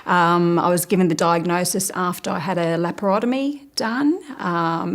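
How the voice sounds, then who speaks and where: A woman in her late thirties telling her own story carefully, with frequent pauses. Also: lowish voice. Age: 30s. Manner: careful, measured with fillers. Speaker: patient sharing her story. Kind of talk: personal testimony.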